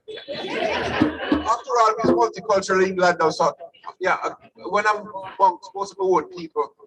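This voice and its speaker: A man's voice: sits high, fairly bright tone. An older man giving a rambling acceptance speech, repeating points and joking. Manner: rambling, repeats himself, jokes. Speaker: award recipient. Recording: distant mic in a hall.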